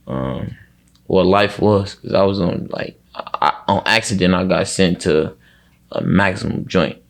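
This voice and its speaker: A man talking casually as an interview guest, slangy and reflective, often halting and leaning on filler words. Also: sits low, vocal fry.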